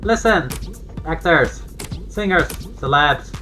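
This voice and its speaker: An actor voicing a brash, teasing young joker in a video game, animated and full of slangy exclamations. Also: mid-range voice, takes it slowly. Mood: breezy. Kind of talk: voiced visual novel dialogue. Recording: game audio with music behind.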